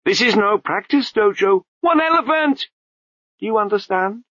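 An actor playing an ancient male abbot in the body of a babbling toddler, squeaky and imperious. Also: expressive, moderate pace, a bit bright, no room sound, highs cut off. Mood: grave pronouncing.

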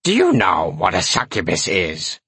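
A gloomy little demon servant, speaks in a deep, bright-edged rasp. He is wary and deferential, with swinging intonation, like a reluctant storybook sidekick. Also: huge pitch swings, moderate pace, no echo, full character voice. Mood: wary, distasteful. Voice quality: low raspy voice. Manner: hesitant, doubtful, deferential. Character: wary glum imp demon sidekick, subservient.

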